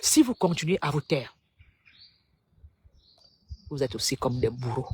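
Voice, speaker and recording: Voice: mid-range voice, expressive, unhurried, a little mellow, a little hiss or hum. A speaker from Cameroon delivers a preachy, rhetorical political monologue to the public. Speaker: political commentator.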